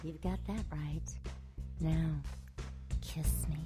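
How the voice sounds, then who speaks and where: A woman's voice: deep voice, steady tone, slow, over music. A woman playing a seductive, predatory winged succubus in an audio drama, with a sultry, taunting manner.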